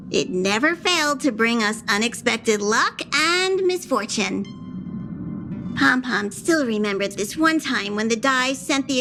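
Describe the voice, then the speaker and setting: A woman's voice: sits high, pushed and bright, heavily acted. A woman voicing a small, cute, fussy and fretful train conductor creature in a video game, speaking earnestly. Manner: speaks of self in third person, earnest. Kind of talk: video game story dialogue.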